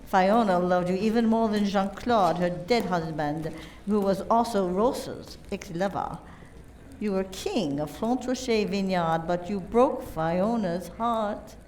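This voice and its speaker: A woman's voice: mid-range voice, unhurried, a little mellow, close to an everyday voice. Female actor as radio-play suspect: cool, proud, gossipy, mid-range voice, slight background noise. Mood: proud, accusing. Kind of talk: community theater radio play acting.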